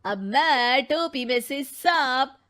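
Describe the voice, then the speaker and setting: A woman's voice: high voice, lively intonation, slow, fairly bright tone, heavily acted. A woman voicing a showy male stage magician chanting spells in a cartoon. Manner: theatrical incantations.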